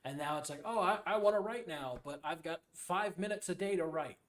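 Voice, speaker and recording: A man hosting a podcast interview in a loose, halting, informal way, with some casual swearing. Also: on the high side, a bit bright. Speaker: podcast host.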